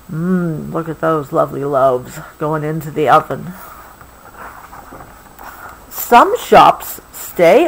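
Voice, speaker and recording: Slow, expressive American woman reading aloud. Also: mid-range voice, rough recording. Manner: expressive, explanatory, patient with interruptions. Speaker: mother reading a picture book aloud to a child. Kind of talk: read-aloud storytelling with casual explanations to a child.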